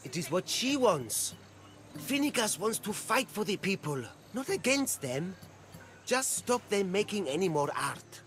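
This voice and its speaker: Animated, high-pitched Greek street vendor. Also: male voice, measured pace, soft background music, heavily acted. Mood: grave, cautioning. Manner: earnest, cautioning. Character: earnest, cautious ancient Greek street vendor, male. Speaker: non-player game character. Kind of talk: scripted game dialogue.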